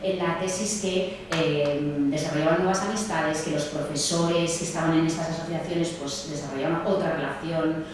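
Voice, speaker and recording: Woman lecturer with a low voice, halting, with fillers and tag questions, heard in a very echoey room. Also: fairly even intonation, background noise. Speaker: sociologist speaking at a seminar. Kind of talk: seminar talk. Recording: echoey distant room mic, faint ambience.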